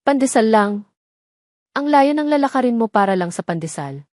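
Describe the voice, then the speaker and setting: A woman's voice: on the high side, animated, normal speed, darkish tone, no room sound, clean studio sound, close to an everyday voice. A woman voicing a rich, direct, persistent city businessman in an audio story. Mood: curious, persuasive.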